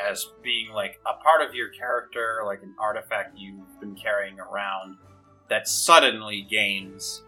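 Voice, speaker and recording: A man's voice: lowish voice, pushed and bright, poor audio. A man running a tabletop game as game master, explaining rules with dry, joking asides. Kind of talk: tabletop game chat and trailer narration.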